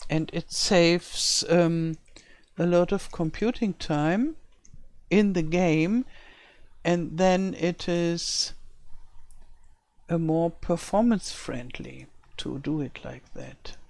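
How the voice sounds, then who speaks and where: A woman's voice: on the low side, slow pace, darkish tone. An older woman from Germany with a German accent, who speaks English as a second language and thinks aloud while building a game map, sometimes searching for words. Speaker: let's-play creator. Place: home in rural France.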